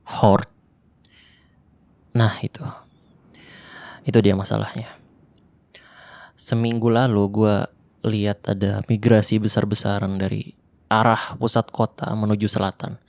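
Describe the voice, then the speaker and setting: A man voicing a lonely young male survivor of a zombie apocalypse in an ASMR roleplay, talking softly and intimately in casual Jakarta slang, close to the microphone. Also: lowish voice, level tone, unhurried, vocal fry, pauses often, dry recording. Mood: hushed. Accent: Jakarta colloquial Indonesian.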